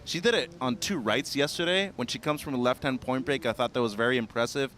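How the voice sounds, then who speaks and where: A man commentating on a surf contest and asking the surfers questions, in a brisk, analytical way. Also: medium pitch, a bit bright, a little hiss or hum. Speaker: broadcast commentator.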